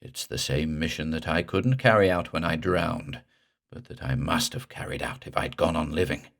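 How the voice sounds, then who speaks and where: A man voicing a drowned dead man risen from a lake, speaking in a slow, guttural, gurgling drawl. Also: extremely low pitch, creaky, a bit bright, heavily acted. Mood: menacing.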